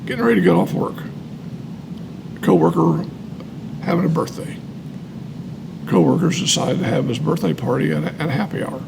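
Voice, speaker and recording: A man's voice: fairly high pitch, animated, moderate pace, creaky, pauses often, background noise. An American man reading aloud and sharing personal testimony, emphatic and repeating phrases for weight. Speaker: co-host reading and commenting on a recovery book.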